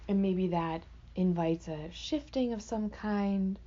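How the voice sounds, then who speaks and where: A woman teaching a guided meditation in a gentle, unhurried, reflective way, speaking off the cuff with pauses between phrases. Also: medium pitch, muffled recording.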